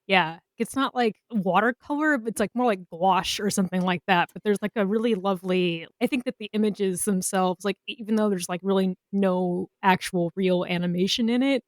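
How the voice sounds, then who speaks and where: A woman's voice: mid-range voice, normal speed, hardly any pauses, no room sound. Chatty, informal woman with dry sound. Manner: giggly, informal, enthusiastic reactions. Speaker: podcast co-host. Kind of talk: casual chat.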